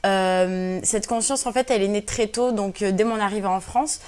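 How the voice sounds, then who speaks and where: A woman's voice: mid-range voice, normal speed, darkish tone. A woman in her twenties from Algeria, a native Berber speaker, answering interview questions earnestly with many hesitations and fillers. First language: Berber (Kabyle). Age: 20s. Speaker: guest activist.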